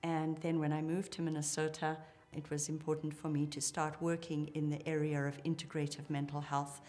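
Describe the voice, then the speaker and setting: Low-voiced woman, articulate. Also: flat delivery, normal speed, mellow. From Zimbabwe. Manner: articulate and enthusiastic. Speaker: psychologist, clinic co-founder. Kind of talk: interview account.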